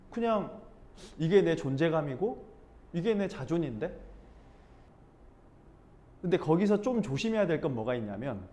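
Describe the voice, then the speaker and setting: A man from Busan teaches a speech and expression class in a relaxed, conversational way. His voice sits a little high for a man and moves through a very wide, lively pitch range. He keeps breaking into acted-out snippets of dialect. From Busan, South Korea. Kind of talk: informal class talk.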